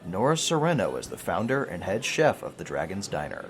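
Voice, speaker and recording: A man's voice: low voice, wildly swooping pitch, brisk, full character voice. A man playing a showy news show host in an audio drama, speaking like a presenter with dramatic emphasis. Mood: showy, polished. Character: male TV news reporter, showy but easily rattled. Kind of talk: audio drama acting as broadcast narration.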